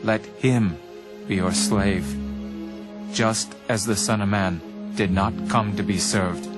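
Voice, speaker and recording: A calm holy teacher in a bible audio drama, lowish voice, earnest, big music behind. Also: male voice, slow pace. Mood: solemn, instructive. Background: background music.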